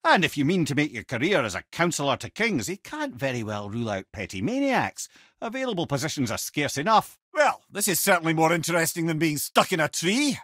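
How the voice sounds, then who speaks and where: A Scottish actor voicing a witty, talkative old male sage in a video game, with a husky voice and a wry storyteller's manner. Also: on the high side, big pitch swings, a little fast, fairly bright tone, no room sound, studio quality. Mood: dry, sardonic.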